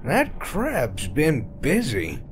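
A man voicing a folksy, wry old male dwarf cleric in a comic fan dub, gravelly and unhurried. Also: mid-range voice, huge pitch swings, exaggerated voice. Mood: laid-back. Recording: loud music under the voice.

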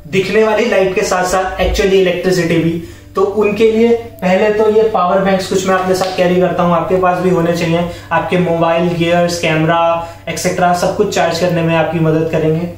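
A young adult man with an Indian accent explaining gear to viewers in an instructive, friendly-address vlog style. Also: high-pitched. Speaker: vlogger presenting camping and hiking gear. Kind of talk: explanatory product showcase to camera, Hindi mixed with English. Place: indoors, bare home room with wooden floor. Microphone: camera or phone a few metres away. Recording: echoey bare room, distant camera microphone.

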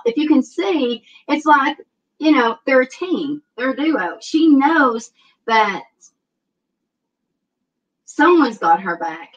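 A woman's voice: a little high, fairly bright tone, far from the mic, dull audio. A middle-aged woman with a Southern US accent, rambling and hesitant as she reads tarot cards on a video call from her home. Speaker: tarot reader guest. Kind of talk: casual tarot reading on a livestream. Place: home room indoors. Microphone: video call webcam.

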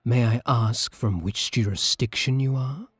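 A British man playing a courtly, possessive vampire king in an intimate ASMR roleplay, silky, formal and commanding with quiet menace. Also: medium pitch, measured pace, no echo, clean studio sound. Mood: courtly, smooth. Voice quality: breathy, husky.

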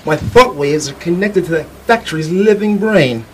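An actor playing an eccentric, showy inventor in a dramatized audiobook, teasing and theatrical, fond of rhetorical questions. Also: male voice, a little high, expressive, normal speed, slight background noise, natural voice, low-quality recording.

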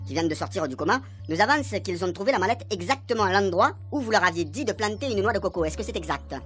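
A man's voice: high voice, expressive, quick pace, fairly bright tone. A stern police commander for a radio serial. He is formal and short on patience, talking like an official on duty. Faint music sits behind the voice. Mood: formal, businesslike. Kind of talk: French radio comedy serial acting.

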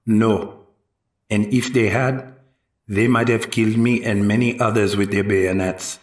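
A man acting a sober, careful eyewitness giving deliberate testimony in a historical courtroom drama. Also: on the low side, steady tone, close to an everyday voice. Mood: earnest, measured. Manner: deliberate, measured storytelling. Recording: roomy echo, distant mic.

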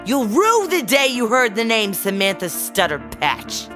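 An actress playing an indignant, vengeful cheated client in a comedy audio drama. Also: female voice, fairly high pitch, very animated, a little slow, music in the background.

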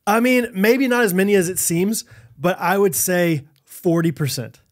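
Chatty man in his thirties, slightly high. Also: lively intonation, moderate pace. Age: 30s. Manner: rambling storyteller, frequent laughs and restarts. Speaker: podcast co-host. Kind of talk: casual chat. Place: home podcast studio. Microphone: studio mic on boom arm, headphones.

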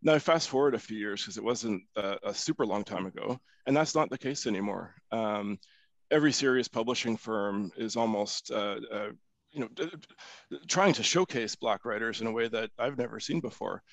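A middle-aged man with a Canadian accent moderating a panel discussion in a casual, halting way over a headset. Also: lowish voice, vocal fry, no room sound. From Ottawa and Durham region, Canada. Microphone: headset on a video call.

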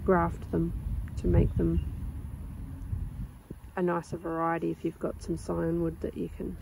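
A young woman with a croaky sore throat, chatting casually and rambling with lots of ums while filming her garden outdoors on a phone. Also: fairly low pitch, monotone, unhurried, a little hiss or hum, low-quality recording. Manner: rambling, chatty, with many ums and soft laughs. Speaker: gardening vlogger. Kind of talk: casual chat.

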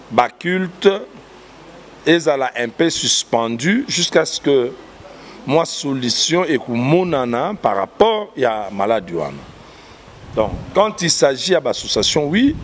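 A man's voice: a little high, slight background noise. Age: 50s. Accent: Congolese French. Sex: man. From Kinshasa, Congo. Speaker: pastor and artist guest. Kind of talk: explanatory interview answers. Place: indoor church hall. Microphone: handheld mic held by host.

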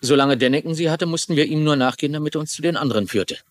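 A man's voice: on the high side, very fast, lightly acted. A man playing a grave government agent, explaining a secret case urgently in a dubbed crime drama.

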